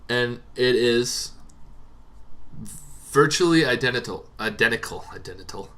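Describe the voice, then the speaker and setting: A man hosting a tabletop roleplaying show, explaining casually and off the cuff in a rambling, halting way that repeats words. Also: mid-range voice, lively intonation, fairly bright tone. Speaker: host of a tabletop roleplaying monster lore show. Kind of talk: casual unscripted explainer to camera.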